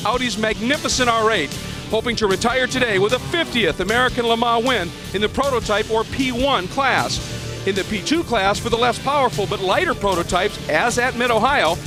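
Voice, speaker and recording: High-voiced excited race commentator man. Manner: excited, building with the action. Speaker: play-by-play commentator. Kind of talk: TV motorsport commentary.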